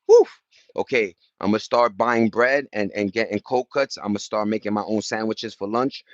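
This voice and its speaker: A man's voice: fairly low pitch, moderate pace, no room sound, muffled recording. A man with an American accent talking casually on a livestream, passionate and emphatic, rambling in slangy street talk. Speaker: livestream host reading and answering viewer chat.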